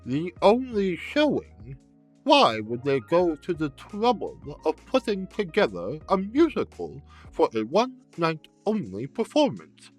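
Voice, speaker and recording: A slow, deliberate actor voicing a fussy pedant in an audio drama. The pitch swings widely, with precise, logical phrasing over faint music. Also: wildly swooping pitch, slightly rough, heavily acted. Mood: incredulous, pedantic.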